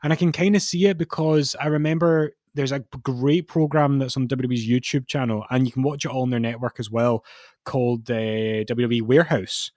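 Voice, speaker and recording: A man talks casually on a podcast, often stammering and restarting his sentences. His voice sits at a middling pitch. The sound is dry and close to the mic. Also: fairly even intonation, brisk, a little mellow, no echo. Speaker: podcast co-host. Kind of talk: casual chat.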